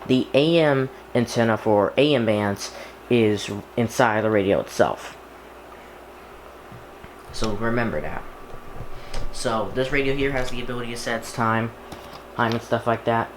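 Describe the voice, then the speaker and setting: A man casually talking through an unboxing and setup as he works, halting and half muttering to himself. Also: mid-range voice. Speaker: YouTuber unboxing and setting up a radio. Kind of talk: casual unboxing narration while handling items. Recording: echoey room with clear background noise.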